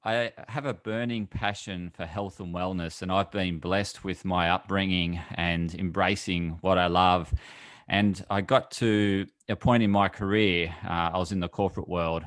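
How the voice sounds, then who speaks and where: A middle-aged man talks in a conversational interview style. His pitch is on the low side. The sound is dry, with very little room around it. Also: steady tone, a little slow, fairly bright tone, dry recording. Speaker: podcast guest, health and wellness coach.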